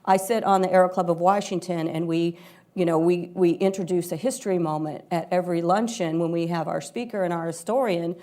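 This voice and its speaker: Lower-voiced woman answering on a panel. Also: fairly even intonation, a little fast, warm tone. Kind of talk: panel discussion, spontaneous answer. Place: live panel event before an audience.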